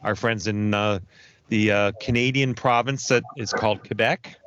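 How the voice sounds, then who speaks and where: A man co-hosting a casual interview podcast, speaking in a halting, mock-serious way. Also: on the low side, fairly even intonation, a bit bright. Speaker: podcast co-host. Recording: slightly dull, lo-fi audio.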